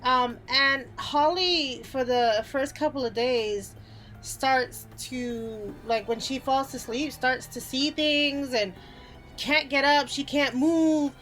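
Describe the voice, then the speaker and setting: A woman's voice: high voice, fairly even intonation, slow pace, a bit bright, faint music behind, rough recording. A woman hosting a casual game list video, talking informally and conversationally with offhand asides. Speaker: video game YouTuber, host. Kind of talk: casual top-ten list commentary.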